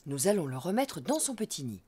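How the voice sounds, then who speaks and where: A woman voicing a gentle, patient mother donkey in a cartoon, calmly explaining things to her child. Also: sits low, brisk, slight background noise. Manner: calm, kindly explaining.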